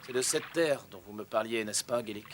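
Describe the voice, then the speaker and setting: A man voicing an earnest, brave young ship's navigator in a French cartoon dub, declaiming his lines clearly. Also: fairly low pitch, a little fast, background noise, close to an everyday voice. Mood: thoughtful.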